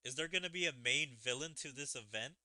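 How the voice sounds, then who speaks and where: A man giving animated game playthrough commentary, talking through the story as he goes. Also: medium pitch, fast talker, no echo. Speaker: gaming video host. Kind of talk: game playthrough commentary, reading dialogue aloud.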